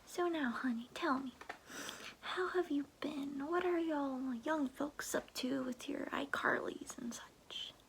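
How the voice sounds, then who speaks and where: A woman's voice: high-pitched, slow pace, creaky, slight background noise, heavily acted. A young woman voicing a kindly, slightly clueless old grandmother in a whispered, soothing ASMR roleplay, fussing with folksy endearments, close to the microphone. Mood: chatty, puzzled.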